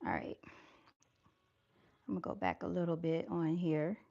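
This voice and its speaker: A soft-spoken woman hosting a casual livestream, chatting to her viewers and calling them y'all. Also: lowish voice, very animated, moderate pace, vocal fry, muffled recording. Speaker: livestream host. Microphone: camera mic on a livestream.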